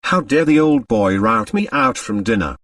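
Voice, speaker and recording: Man acting breezy, flippant upper-class gentleman. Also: mid-range voice, very animated, normal speed, dry recording, studio quality, far from the mic. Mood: flippant indignation. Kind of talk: audiobook character voice.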